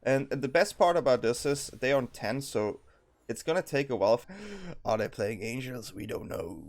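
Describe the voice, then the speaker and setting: A man's voice: medium pitch, lively intonation, normal speed, a little mellow. A young man with a Swiss accent giving casual, thinking-aloud commentary as he plays a card game, with frequent ums and restarts. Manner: thinking aloud, many ums and self-corrections.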